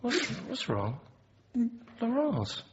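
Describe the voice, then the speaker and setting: A dull-sounding radio drama recording of a slow, halting male hostage-taker. He is volatile yet oddly protective, and his pitch leaps about widely. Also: huge pitch swings, noisy background, heavily acted. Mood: alarmed concern. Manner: halting, repeating himself, swinging to agitated.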